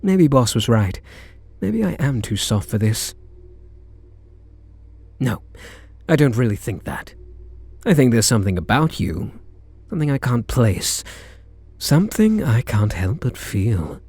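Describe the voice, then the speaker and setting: A British man playing a charming, teasing kidnapper who is softening toward his captive, in an intimate ASMR roleplay. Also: mid-range voice, sing-song, measured pace, pauses often, husky, no echo, heavily acted. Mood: conflicted. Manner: teasing, flirtatious, murmuring pet names.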